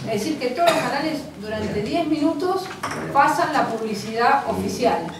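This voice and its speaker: Woman, opposition politician, slightly high voice, far-off noisy echoey room, press briefing. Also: lively intonation. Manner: measured, explanatory, with many fillers. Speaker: opposition deputy. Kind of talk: press conference statement.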